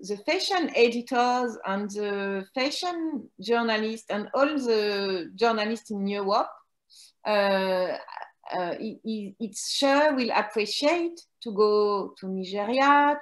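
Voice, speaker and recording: A woman with a French accent, a native French speaker, explaining fashion PR haltingly with many fillers and restarts. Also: medium pitch, roomy sound. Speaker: fashion PR guest. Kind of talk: hesitant conversational explaining.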